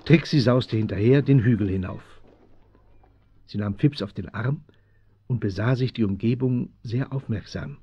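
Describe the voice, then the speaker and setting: An actor playing the composed narrator of a German children's adventure radio play, reading scripted narration. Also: male voice, lowish voice, normal speed, slightly rough. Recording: dull, dry 1970s audio drama recording.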